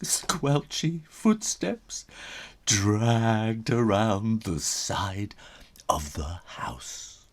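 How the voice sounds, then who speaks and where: A man's voice: on the low side, animated, very slow. A man reading a children's story aloud as its author, theatrical and suspenseful, drawing out his words.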